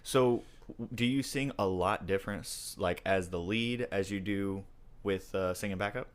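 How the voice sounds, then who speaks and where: Low-voiced guy hosting a laid-back chat, says dude a lot, excited and friendly. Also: moderate pace, clear, resonant tone. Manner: friendly, chatty, enthusiastic, uses slang like dude and man. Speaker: interview host and musician. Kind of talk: casual interview chat.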